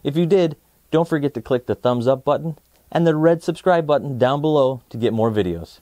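A man in his thirties hosting a children's read-aloud, presenting nonfiction in a deliberate, emphatic storytelling way. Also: mid-range voice, animated, mellow, no echo.

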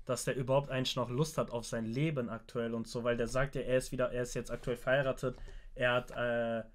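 A young man reacting live as a streamer, casual and slangy, blunt and quick to get excited. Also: mid-range voice. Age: young adult. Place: indoor streaming room.

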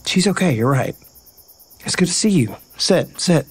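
An actor playing a playful, sincere baseball player, casual and earnest in a naturalistic audio drama. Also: male voice, fairly high pitch, expressive, moderate pace, slightly rough. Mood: subdued.

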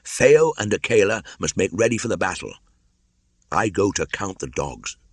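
A man's voice: sits low, very animated, normal speed, husky, dry recording, voice pushed up, heavily acted. A man voicing a brave, earnest young jungle boy in a storybook, speaking in a bold declamatory way. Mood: proud resolve.

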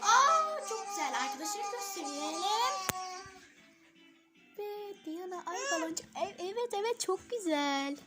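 Casting: female family vlogger, notably high voice, informal chatty delivery to camera and child, music clearly in background. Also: sing-song, measured pace. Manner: chatty, addressing viewers and a small child in turn. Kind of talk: casual home vlog chat. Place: at home, in front of a television.

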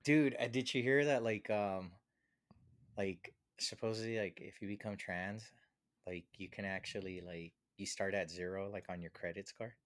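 Low-voiced man, casual and slangy. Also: moderate pace. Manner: informal, slangy, jokey. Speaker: podcast co-host. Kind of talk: casual podcast chat. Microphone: close podcast microphone with headphones.

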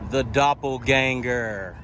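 A young man narrating his own fishing trip in a relaxed, slangy way, talking to a camera on his boat. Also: mid-range voice, slow, a little hiss or hum. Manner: laid-back, slangy, talks to camera while working. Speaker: fishing vlogger. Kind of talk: casual outdoor vlog narration. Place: outdoors at a boat ramp and on open water.

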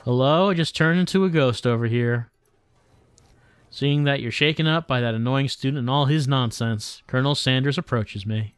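A man live-streaming a visual novel, reading the text aloud with wry, deadpan asides. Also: mid-range voice, normal speed, clear, resonant tone, no echo.

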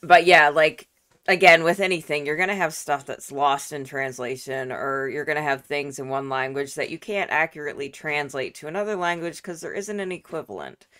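Chatty bright voice on a video call, rambles on explaining stuff, super casual. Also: mid-range voice, moderate pace. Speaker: co-host. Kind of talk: casual reaction chat. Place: home room, video call.

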